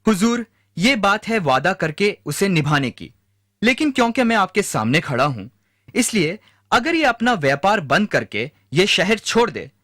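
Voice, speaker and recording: A man playing a greedy, stubborn, scheming moneylender in an animated moral story, insistent yet obsequious. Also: sits high, moderate pace, no echo, clean studio sound, exaggerated voice. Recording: music bed behind the voice.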